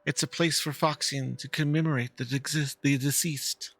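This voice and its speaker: A man plays a war-veteran fox woman from a game, wistful and dignified. The delivery is earnest and measured, with a creaky, bright tone. The recording is dry, with light music underneath. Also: a little high, steady tone, no room sound. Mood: solemn explaining. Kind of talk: dramatic reading of game dialogue.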